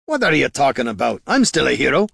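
A man voicing a gruff, loyal dwarf hero with a blunt, emphatic and hearty way of talking. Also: mid-range voice, very animated, moderate pace, a bit bright, dry recording, clean studio sound, pitched above the performer's own voice, full character voice. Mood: defiant, indignant.

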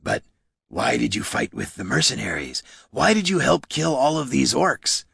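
A man voicing a grave, stern and sorrowful troll with a gravelly, husky voice. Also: medium pitch, wildly swooping pitch, moderate pace, a bit bright, dry recording, clean studio sound, pitched above the performer's own voice, exaggerated voice. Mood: questioning.

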